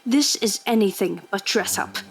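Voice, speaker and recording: An actress playing a defiant, sharp-witted Edwardian undercover agent, dry and self-assured. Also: female voice, mid-range voice, animated, moderate pace, vocal fry, low-quality recording. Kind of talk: audio drama acting and narration.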